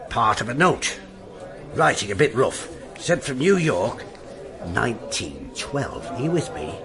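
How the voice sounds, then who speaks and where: A brisk, animated police detective. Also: male voice, mid-range voice, crowd noise. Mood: intent, confiding. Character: brisk male police detective inspector hunting a relic. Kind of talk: radio drama acting.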